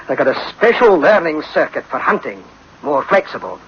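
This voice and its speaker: An actor playing a talkative, defensive engineer in a 1960s radio drama, with a husky voice. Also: male voice, fairly high pitch, very animated, normal speed, fairly bright tone, heavily acted. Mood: eager, boastful. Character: talkative male engineer, defensive about his hunting machines. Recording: muffled old radio recording.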